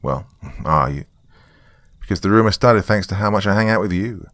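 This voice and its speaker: Man voicing a blunt, low-voiced teenage boy. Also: normal speed, a bit bright, no echo, clean studio sound. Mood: challenging. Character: blunt, self-assured teenage boy, loyal friend.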